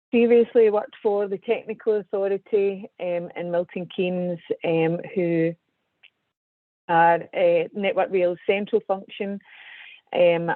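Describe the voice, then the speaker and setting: A woman with a Scottish accent, presenting a webinar as an engineer, measured and full of ums. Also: fairly low pitch, dry recording. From Scotland. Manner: measured, hesitant with frequent ums. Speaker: guest speaker, civil engineer. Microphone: video call. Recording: tinny dry online call audio.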